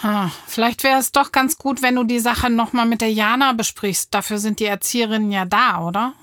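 A woman's voice: a little high, steady tone, brisk, fairly bright tone, no echo, studio quality. A woman hosting a children's audio play interview in a sympathetic, encouraging way, asking questions. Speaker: host.

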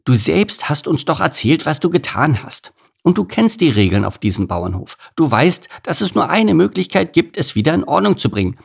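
A man voicing a reasonable, conciliatory female goose who gently coaxes in a storybook. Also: fairly high pitch, sing-song, moderate pace, gravelly, breathy edge, voice pushed up, exaggerated voice. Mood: conciliatory, urging. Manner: placating, coaxing. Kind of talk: storybook character voice.

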